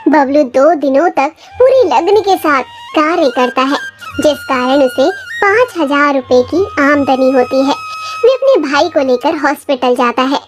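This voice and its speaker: A high, childlike voice reading a scripted children's moral story as the narrator. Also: very animated, measured pace. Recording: music under the voice. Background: music.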